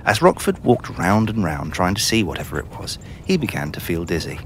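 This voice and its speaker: A man narrating a scripted children's story in an expressive storytelling manner. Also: low-pitched, brisk, a little hiss or hum.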